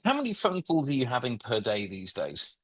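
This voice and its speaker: A man hosts a webinar in a relaxed, slightly halting way. His voice is a bit husky, and the recording is dry and close. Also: mid-range voice, no room sound. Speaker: webinar host. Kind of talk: casual webinar chat.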